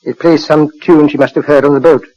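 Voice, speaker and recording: An actor playing a genial, courteous British asylum doctor, faintly patronising, in 1940s radio acting style. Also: male voice, a little high, steady tone, a little fast, mellow, no room sound, close to an everyday voice. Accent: British English. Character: genial, matter-of-fact male asylum doctor. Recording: dull, lo-fi 1940s radio recording.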